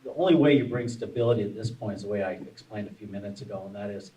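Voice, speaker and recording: A man's voice: on the low side, fast talker, mellow, a little hiss or hum. A man on a panel, explaining at length and often restarting his phrases. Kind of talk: panel discussion. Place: conference room at a forum. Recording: distant room mic.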